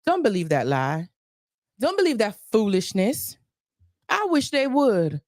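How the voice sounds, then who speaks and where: A woman's voice: medium pitch, wildly swooping pitch, measured pace, a little mellow, no room sound, studio quality. A woman life coach giving advice on a livestream, emphatic and repeating points for stress.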